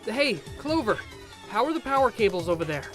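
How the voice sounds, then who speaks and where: A woman voicing a casual, excitable young male college student in a game fan dub. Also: a little high, brisk. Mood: excited, eager. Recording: music playing behind the voice.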